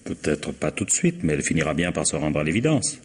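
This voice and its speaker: Deep-voiced, composed gentleman employer. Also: very animated, quick pace, slightly rough, exaggerated voice. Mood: resigned, reasoning. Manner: polite, reasoning, wry. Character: composed, resigned male employer under suspicion. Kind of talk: 1950s French radio mystery acting.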